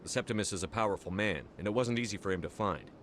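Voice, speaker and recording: A man playing a brisk military commander. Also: fairly low pitch, a bit bright, a little hiss or hum, close to an everyday voice. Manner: clipped and commanding. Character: brisk human male military commander, no-nonsense. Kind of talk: video game cutscene dialogue.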